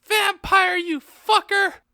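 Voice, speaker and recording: A man voicing a melodramatic, foul-mouthed teenage girl with shrill, indignant exclamations in a comic audiobook reading. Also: fairly even intonation, slow, pushed and bright, dry recording, raised voice, studio quality, full character voice. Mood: furious. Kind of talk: comic dramatic reading of fan fiction.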